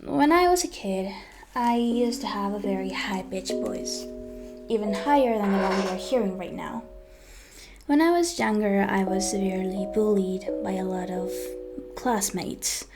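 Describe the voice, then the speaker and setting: Young woman, high-pitched voice, hesitant storytime monologue, clear guitar music behind. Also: measured pace. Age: young adult. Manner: hesitant, with frequent ums and self-corrections. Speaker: vlogger.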